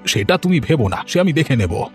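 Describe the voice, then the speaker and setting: Man voicing a lower-pitched, reassuring village friend. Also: very animated, fairly quick, faint music behind, natural voice. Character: helpful village friend, easygoing and reassuring male. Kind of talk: cartoon story voice acting.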